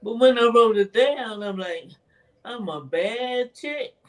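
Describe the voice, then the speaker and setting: A woman with a naturally soft voice answers interview questions in a slow, hesitant way, restarting her sentences and laughing often. She speaks at an unhurried pace. Her voice sounds distant, as if she is sitting well back from the microphone. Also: on the high side, lively intonation. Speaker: interviewee, author. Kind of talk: casual conversational interview. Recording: voice from far off the mic.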